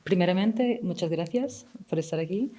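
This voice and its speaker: A native Portuguese woman with a Portuguese accent, speaking as a panelist in a halting, word-searching way. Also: lowish voice, warm tone. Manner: halting, searching for words in a second language. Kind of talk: unscripted panel discussion.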